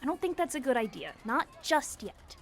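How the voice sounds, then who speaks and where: A sensible, bookish girl with a high voice. She cautions and reasons in the manner of a 1920s radio play. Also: big pitch swings, moderate pace, soft background music, full character voice. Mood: cautious, urgent. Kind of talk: 1920s radio drama acting.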